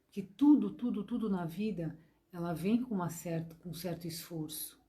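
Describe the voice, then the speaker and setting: A Brazilian-accented woman gives a gentle devotional lesson in a low, mellow voice that is a little hoarse. She repeats her points and keeps turning to her listeners with tender words of address. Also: moderate pace. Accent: Brazilian Portuguese. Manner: gentle, repetitive, calls listeners "gente" and "meus amores". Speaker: spiritist course teacher. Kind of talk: devotional lesson to an online class.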